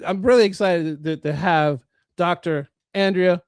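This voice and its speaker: A man with a fairly high voice hosts an interview show. He talks earnestly and sometimes stumbles and restarts his sentences. The sound is dry and close. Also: unhurried, clear, resonant tone, no room sound. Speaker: host. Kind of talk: interview and sponsor talk.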